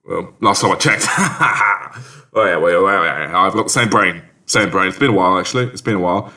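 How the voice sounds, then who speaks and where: A man's voice: sits low, fairly quick, pushed and bright, heavily acted. A man with a British accent playing a bumbling, polite, evasive character in a comedy sketch, stammering and repeating himself. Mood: evasive, breezy.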